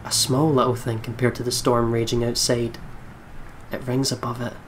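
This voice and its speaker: A man's voice: medium pitch, level tone, normal speed, a little hiss or hum, rough recording. A young man hosting a let's play, reading visual novel narration aloud with dramatic delivery. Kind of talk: dramatic reading of game text.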